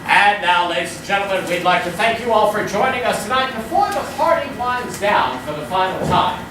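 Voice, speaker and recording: A woman's voice: medium pitch, lively intonation, brisk, background noise. A woman hosting a live stage show, presenting like a game-show announcer to an audience. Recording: very echoey hall from far off, music behind.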